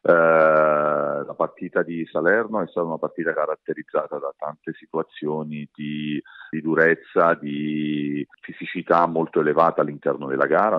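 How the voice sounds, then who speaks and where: A man giving measured, hesitant answers as a sports coach in a radio interview. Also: very deep voice, no room sound, phone-quality sound. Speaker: basketball coach being interviewed.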